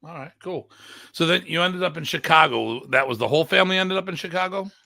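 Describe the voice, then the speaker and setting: A man's voice: a little high, fast, gravelly, pushed and bright, no echo. A man hosting a live online show, chatting casually and interviewing a guest.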